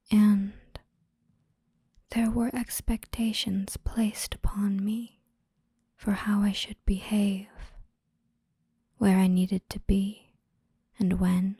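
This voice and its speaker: A woman guiding a hypnosis relaxation in a soothing, gentle, breathy whisper, unhurried and with frequent pauses, close to the microphone. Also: mid-range voice, no room sound. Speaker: hypnosis guide. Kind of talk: whispered ASMR guided relaxation storytelling.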